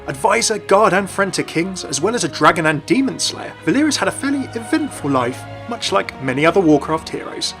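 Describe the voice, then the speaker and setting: Fast-talking, higher-pitched male video narrator. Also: pushed and bright. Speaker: video narrator and game commentator. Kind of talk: scripted explainer narration. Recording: voice-over with light background music. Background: background music.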